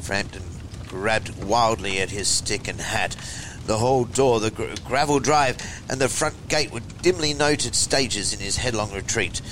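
Guy with a lowish voice reading spooky stuff like a horror host, theatrical and deliberate, rumbly noise behind him. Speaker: host and reader of a horror anthology show. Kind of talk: expressive storytelling and letter reading.

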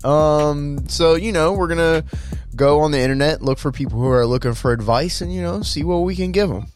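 A man's voice: on the high side, a little fast, soft background music. Rambling jokey man in his twenties. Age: 20s. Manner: rambling, jokey, with many fillers and restarts. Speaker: podcast host. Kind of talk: casual podcast chat.

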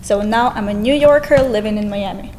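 A woman's voice: mid-range voice, fairly quick, heavy background noise. A woman in her twenties with a Russian accent, a guest on a podcast, talking cheerfully and laughing often. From Eastern Europe. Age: 20s. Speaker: guest, real estate agent. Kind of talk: casual interview chat.

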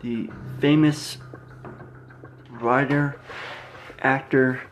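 A man hosting a podcast, giving a casual outro in a halting, phrase-by-phrase manner. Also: mid-range voice, steady tone, slight background noise. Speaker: podcast host.

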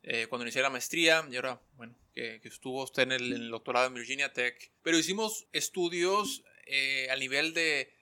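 A man's voice: medium pitch, brisk, few pauses, bright, forceful tone, smooth. A man hosting a podcast interview in a formal, courteous manner. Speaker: podcast host.

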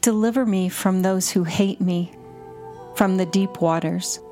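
Slow-paced woman leading contemplative prayer. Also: medium pitch, steady tone, mellow. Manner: measured, deliberate phrasing in short lines. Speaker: prayer guide and podcast host. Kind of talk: slow, repetitive guided contemplative prayer reading. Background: music under the voice.

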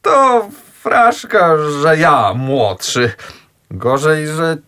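A young actor playing a hopelessly indecisive young gentleman who hesitates and stammers in a radio play. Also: on the high side, big pitch swings, extremely slow, heavily acted. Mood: hesitant. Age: young adult. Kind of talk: radio drama acting.

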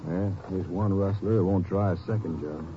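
A man's voice: deep voice, normal speed, background noise, close to an everyday voice. A man playing an easygoing Ranger partner. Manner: relaxed, conversational. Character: easygoing male Ranger sidekick. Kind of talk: 1950s radio drama acting. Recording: lo-fi 1950s radio broadcast.

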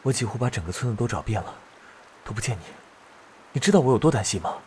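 A man playing a gentle, caring village schoolteacher who pleads earnestly in a Chinese period audio drama. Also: medium pitch, lively intonation, fast talker, slight background noise, natural voice. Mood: worried.

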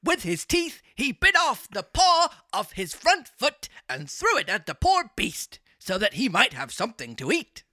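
Reader acting a con-artist fox, very animated pitch, bright tone, theatrical, persuasive, falsely sympathetic, storybook. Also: measured pace, hardly any pauses, no room sound, studio quality, exaggerated voice. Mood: oily, pious. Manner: theatrical, persuasive, mock-gentlemanly. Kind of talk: storybook character reading.

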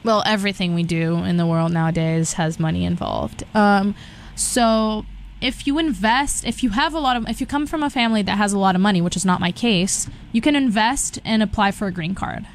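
A woman's voice: mid-range voice, brisk, slight background noise. A young woman from Brazil with a Southern Californian accent, a guest chatting casually with lots of fillers and restarts. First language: Portuguese and Spanish. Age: young adult. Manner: rambling, many restarts and fillers. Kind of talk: casual interview chat.

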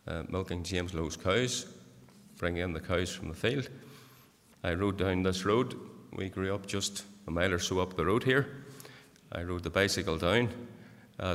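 A man's voice: low voice, fairly bright tone. An older man with a Northern Irish accent preaching an earnest sermon in a church, rising to emphatic exhortation. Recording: church hall reverb.

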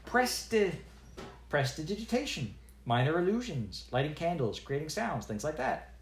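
Man voicing a nervous young girl mage in a storybook, high and halting, lively. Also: echoey room, a little hiss or hum. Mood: flustered. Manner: hesitant, with ums and uhs. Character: young human girl wizard apprentice, flustered.

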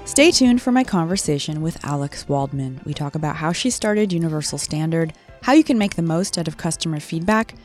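Chatty low-voiced woman podcast host. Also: lively intonation, darkish tone, soft background music. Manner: upbeat, chatty, quick asides. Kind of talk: casual interview chat.

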